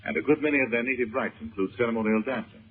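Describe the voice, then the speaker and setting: An actor playing a grave, protective uncle in a 1930s radio serial, speaking in a measured, earnest way. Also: male voice, mid-range voice, husky, close to an everyday voice. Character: troubled, grave uncle who works magic, protective and subdued. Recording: muffled, tinny 1930s radio recording.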